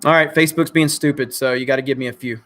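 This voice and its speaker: A man's voice: medium pitch, fairly even intonation, fast talker, fairly bright tone. A man with a crackly voice hosting a casual livestream, reading viewer comments and answering in a blunt, joking way with some swearing. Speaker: channel host.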